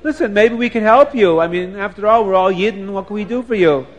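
A man's voice: high-pitched, a little fast, pitched above the performer's own voice. A man playing a polite, eager travelling tailor, earnest and a bit hesitant, in a children's story. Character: polite, eager male travelling tailor, boastful about work.

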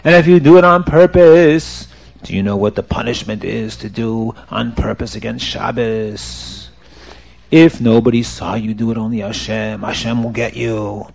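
A man's voice: mid-range voice, steady tone, normal speed, gravelly, a little mellow, voice pushed down. A man voicing a stern, harsh rabbi in a religious tale, scolding emphatically and demanding penance. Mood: grave scolding. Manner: scolding, emphatic, relentless rhetorical questions. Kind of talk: oral religious storytelling, character voice.

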